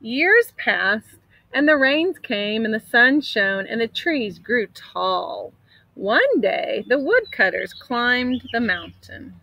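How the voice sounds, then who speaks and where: Higher-voiced woman reading a storybook aloud. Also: slow pace, gravelly, no room sound, rough recording. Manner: expressive, dramatic storybook delivery. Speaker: storybook reader. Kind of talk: read-aloud storytelling from a picture book. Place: outdoors in an open grassy area.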